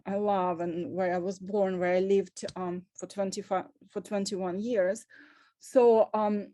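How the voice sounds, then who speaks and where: Halting woman. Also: medium pitch, warm tone, dry recording. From Russia. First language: Russian. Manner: earnest and halting, with many pauses. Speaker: panelist. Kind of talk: panel talk on a video call. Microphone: video call.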